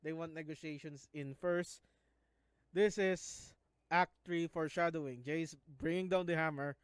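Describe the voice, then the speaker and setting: Filipino-accented man with a smooth voice. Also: fairly high pitch, steady tone, dry recording. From Philippines. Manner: halting, restarts words often. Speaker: YouTuber analyzing a show. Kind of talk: casual video commentary.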